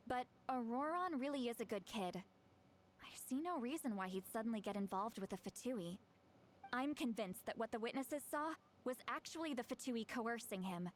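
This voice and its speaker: Lady acting as proud caring shaman girl from a fantasy game, highish voice, earnest, some background noise. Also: lightly acted. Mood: earnest, frustrated. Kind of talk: scripted video game dialogue acting.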